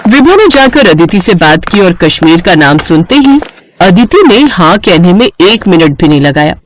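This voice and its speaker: A woman's voice: on the low side, big pitch swings, normal speed, a little hiss or hum. A woman narrating a scripted story.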